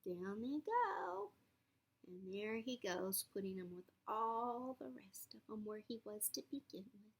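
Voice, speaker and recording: A woman reads a picture book aloud to a small child. Her delivery is slow and full of melodic swings. She is playful, adds chatty asides and often drops toward a whisper. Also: medium pitch, lively intonation, creaky. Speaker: storybook reader.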